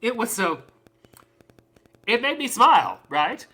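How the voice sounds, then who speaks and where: Lady doing a doting dad monologue, slow n dramatic w big pauses, real bright punchy voice. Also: a little high, exaggerated voice. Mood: fond, earnest. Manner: animated storytelling, dramatic pauses, drawn-out emphasis. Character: doting, excitable parent of a small girl, called Daddy.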